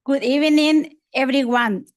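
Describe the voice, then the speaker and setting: A woman's voice: high-pitched, a little slow. A woman from El Salvador with a Salvadoran Spanish accent, a Spanish speaker who reads a short public comment in simple learner's English at a school board meeting.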